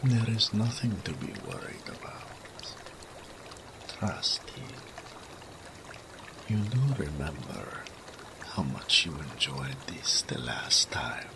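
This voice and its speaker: Man voicing a deep, gravelly, seductive naga. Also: sing-song, background noise, full character voice. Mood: seductive, soothing. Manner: slow, third-person speech, soft murmurs dropping to whispers. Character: seductive male naga, possessive, doting, teasing serpent creature. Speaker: audio roleplay voice actor. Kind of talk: intimate romantic audio roleplay.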